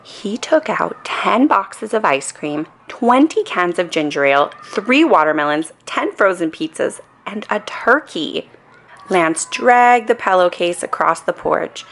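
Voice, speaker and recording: A woman reads a children's bedtime story at a slow, careful pace. Her fairly low voice swings widely in pitch, with dramatic emphasis meant for young listeners. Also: animated, creaky, a bit bright. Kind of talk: animated bedtime story read-aloud.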